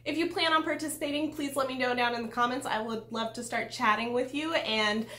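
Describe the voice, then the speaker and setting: Higher-pitched woman, echoey room. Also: steady tone, brisk, bright, forceful tone. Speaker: book vlogger, channel host. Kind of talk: casual vlog announcement to camera. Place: small room at home with bookshelves, indoors.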